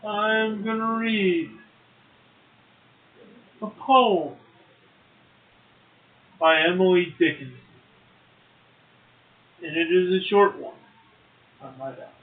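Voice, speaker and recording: Over narrow, phone-like audio, a man with a high voice co-hosts a poetry show. He speaks extremely slowly, whether reciting verse or making a casual remark. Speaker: poetry podcast co-host, poet. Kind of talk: slow poetry reading and casual comment. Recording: muffled, tinny phone-like audio.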